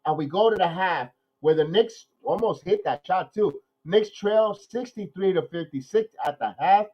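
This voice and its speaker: A man hosting a live watch-along podcast, nasal from a cold, calling plays excitedly and then talking analysis with many repeats. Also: high voice, moderate pace, husky, lo-fi sound. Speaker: podcast host.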